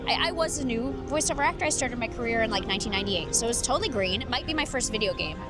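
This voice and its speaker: A middle-aged woman speaks in a noticeably high, light voice, bubbly and rambling as she chats. Clear music plays behind her. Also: lively intonation, very fast. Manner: bubbly, rambling, laughs often. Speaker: voice actor guest. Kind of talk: casual interview chat.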